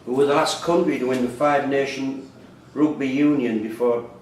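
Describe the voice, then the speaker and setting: A man with a mid-pitched voice reads live quiz questions with deliberate care. He sounds set back from the microphone in a very echoey room, with a little noise around him. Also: steady tone. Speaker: quiz master reading questions. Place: indoor room at a quiz tournament. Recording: echoey room, distant mic, faint noise.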